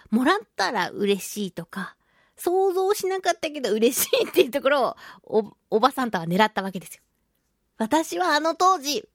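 Woman chatting in a high, animated voice. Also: no room sound, studio quality. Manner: giggly, chatty, playfully self-narrating in mock-polite phrases. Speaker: radio show host and voice actress. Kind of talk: casual chatty solo radio talk.

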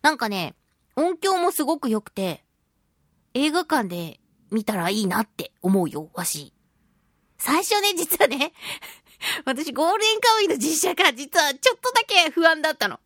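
A woman hosting a casual radio talk show, with a fairly high voice that swings widely in pitch. She speaks expressively and emphatically, chatting straight to her listeners in a close, dry recording. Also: very animated, moderate pace, fairly bright tone, no room sound. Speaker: radio show host and voice actress.

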